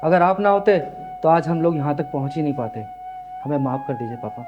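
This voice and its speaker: Man acting young man, fairly high pitch, dramatic short-film delivery, faint music. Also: lively intonation, a little fast, dull audio, close to an everyday voice. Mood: remorseful. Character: young man, tenant or son, melodramatic.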